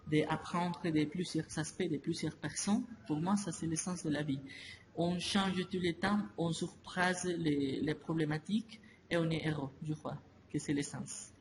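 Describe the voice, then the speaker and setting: Slow hesitant man. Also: fairly high pitch, fairly even intonation. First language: Spanish. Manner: halting, many restarts and fillers. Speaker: interviewee. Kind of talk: slow, hesitant interview answers. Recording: noisy ambience behind voice.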